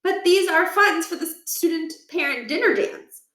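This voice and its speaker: A woman's voice: extremely high pitch, lively intonation, moderate pace, pushed and bright, voice pushed up, heavily acted. A woman voicing an indignant, plain-spoken schoolgirl class president who protests politely in a children's story. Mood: protesting. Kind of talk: children's book read aloud. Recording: echoey room, distant from mic.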